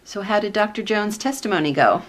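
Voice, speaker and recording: A woman playing a skeptical, wry commission staffer in a sitcom-style radio play, speaking in a measured way. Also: mid-range voice, animated, natural voice. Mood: matter-of-fact.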